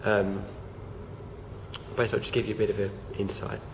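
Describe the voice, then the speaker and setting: A young man, croaky from feeling unwell, talking casually to camera with many fillers and restarts. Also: fairly low pitch, level tone, brisk. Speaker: vlogger. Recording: noisy home recording.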